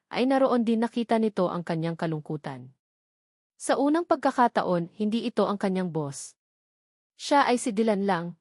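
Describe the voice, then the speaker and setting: A woman narrates a scripted love drama with measured, dramatic delivery. Her pitch sits in the middle of the usual female range, and the sound is very dry, with no room to it. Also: mellow, no echo.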